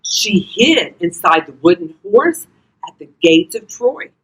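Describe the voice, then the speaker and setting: An older woman reading a children's storybook aloud expressively, talking directly to young listeners in a husky voice. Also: lowish voice, sing-song, a little slow, fairly bright tone, poor audio. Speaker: volunteer grandparent reading to children.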